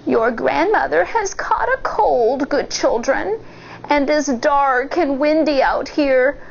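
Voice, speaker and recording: A woman voicing a cunning old wolf who poses as a sweet grandmother, coaxing slowly in a sugary way. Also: sits high, animated, vocal fry, muffled recording, slight background noise, pitched above the performer's own voice, heavily acted. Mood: coaxing, sly.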